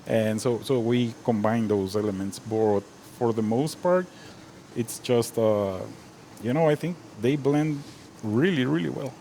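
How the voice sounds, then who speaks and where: A man's voice: medium pitch, measured pace, warm tone, slight background noise, rough recording. A man from Guatemala, a restaurant chef, chatting casually about his cooking with many "you know" fillers. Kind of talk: casual interview chat.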